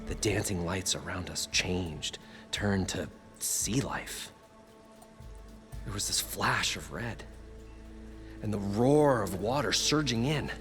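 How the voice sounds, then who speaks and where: A tinkering salvage partner in a video game. His voice is a deep man's voice, friendly and folksy, with an eager showman's streak. Music plays clearly behind him. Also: slow, long pauses. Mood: earnest, uneasy. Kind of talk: video game voice acting, conversational.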